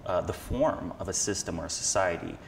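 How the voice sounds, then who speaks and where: Low-voiced man in an echoing space. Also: a little fast, vocal fry. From Slovenia. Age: 30s. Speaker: interview guest, social scientist and analyst. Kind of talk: reflective conversational explanation. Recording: echoey hall with background noise.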